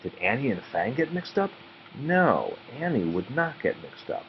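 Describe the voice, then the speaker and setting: Animated man reading a children's storybook. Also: mid-range voice, moderate pace. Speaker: read-aloud narrator. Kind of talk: expressive children's storybook narration. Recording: muffled audio with faint background noise.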